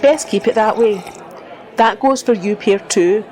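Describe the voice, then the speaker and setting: A woman's voice: mid-range voice, a little slow, a little mellow, natural voice. A woman playing a gossipy, bossy small-town server with a dry manner in an audio drama. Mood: dry, firm.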